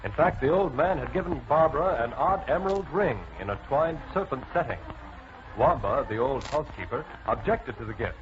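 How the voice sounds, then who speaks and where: A formal, dramatic announcer, played by a man narrating a 1940s crime serial. His middle-pitched voice comes through a muffled, clearly noisy vintage recording. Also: expressive, normal speed, lightly acted. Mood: urgent storytelling. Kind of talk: 1940s radio scripted narration. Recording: noisy, muffled old-time radio recording.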